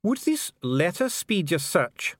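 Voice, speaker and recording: A man's voice: on the high side, big pitch swings, normal speed, no room sound, studio quality, voice pushed up. A man voicing a solemn, disdainful dwarf official in an audiobook, formal, precise and pompous. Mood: haughty. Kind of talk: audiobook character acting.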